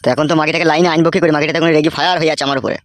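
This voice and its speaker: A crude gangster dog boss played by a man from a comic cartoon, bossy and sulky. He grumbles very fast in a slightly high male voice, swearing coarsely, recorded close and dry. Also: fairly even intonation, no room sound, close to an everyday voice. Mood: grumbling.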